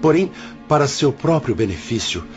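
A man's voice: medium pitch, sing-song, moderate pace, muffled recording, music in the background, close to an everyday voice. An actor playing a serene, humble older male spirit who directs an afterlife hospital in a religious radio drama, speaking solemn, formal, patient Brazilian Portuguese. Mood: gently firm.

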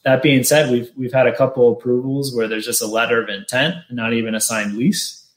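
A man hosting an informational webinar, explaining steps in a steady, matter-of-fact way. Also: mid-range voice, fairly quick. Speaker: webinar host, business consultant. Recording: roomy echo.

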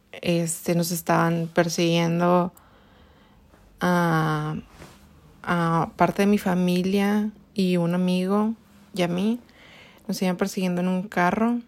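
Slow, hesitant Mexican woman in her twenties. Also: on the low side, darkish tone. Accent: Mexican Spanish. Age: 20s. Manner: slow, hesitant, with many restarts and fillers. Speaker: podcast host. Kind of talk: personal storytelling podcast.

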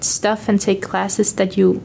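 Young Danish exchange student, woman, talkative and upbeat, mid-pitched voice with a faint hiss behind. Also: level tone, takes it slowly, vocal fry, mellow. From Denmark. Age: young adult. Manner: chatty, upbeat, fillers of like. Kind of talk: casual interview chat.

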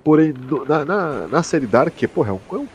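A man with a Brazilian accent hosting a casual podcast discussion, slangy and halting as he explains. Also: on the high side, sing-song, lo-fi sound, slight background noise. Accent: Brazilian Portuguese. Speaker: podcast host.

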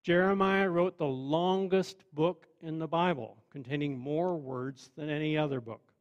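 Fairly high-voiced man preaching a sermon. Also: dull audio. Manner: measured, conversational preaching with wry asides. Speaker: pastor.

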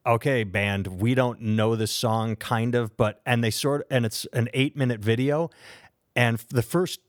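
A man's voice: mid-range voice, steady tone, unhurried, no room sound, studio quality. An American man co-hosting a podcast, telling stories in a rambling, enthusiastic way. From United States. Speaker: podcast co-host. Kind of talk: casual podcast chat.

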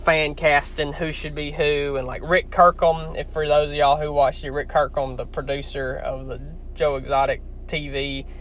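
A man's voice: medium pitch, a little hiss or hum. A young man from Louisiana with a heavy Southern accent, chatting casually and rambling to his viewers. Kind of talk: casual talk to camera.

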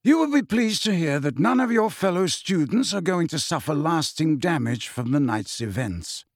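A man voicing a calm, kindly, grave old wizard headmaster in an audiobook, speaking in a quiet, measured way. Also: a little high, no room sound, studio quality. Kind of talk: audiobook character voice.

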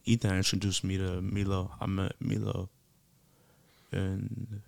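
A man's voice: low-pitched, monotone, a little slow, vocal fry, darkish tone, dry recording. A man from the United States chatting casually in slang as an interviewed musician, emphatic and self-assured, often repeating himself for weight.

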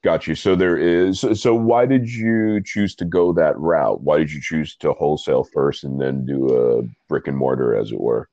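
A man's voice: a bass voice, moderate pace, few pauses, dark tone, no room sound, lo-fi sound. A man with a stuffy nose hosting a casual interview, rambling with self-deprecating asides. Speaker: podcast host and coffee reviewer.

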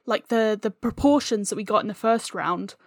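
A woman's voice: medium pitch, normal speed, no echo, clean studio sound. A woman explaining her creative process earnestly and conversationally, as a guest in an interview. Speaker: podcast guest, audio drama writer.

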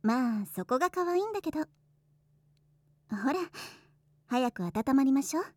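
Woman doing a teasing doting big sis type for an audio drama, high soft coaxing voice, really dry close mic. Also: big pitch swings, moderate pace, no room sound. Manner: coy, gently teasing, soothing. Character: teasing, doting older-sister hostess, adult woman. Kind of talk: Japanese situation voice drama acting.

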